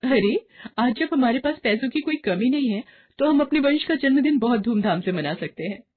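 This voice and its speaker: A woman acts out a greedy young housewife from a storybook tale. She is excitable, insistent and boastful, with a husky voice that lifts high, on muffled narrow audio. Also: moderate pace. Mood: excited, boastful.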